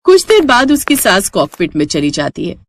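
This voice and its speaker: A woman narrating a scripted animated moral story. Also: mid-range voice, normal speed, dry recording.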